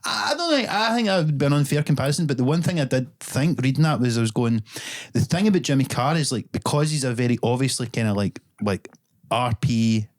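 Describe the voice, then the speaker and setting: Guy hosting a pod, average pitch, rambly and laughy. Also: lively intonation, fast talker. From Scotland. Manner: chatty, laughs often, frequent restarts. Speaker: podcast host. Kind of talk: casual chat.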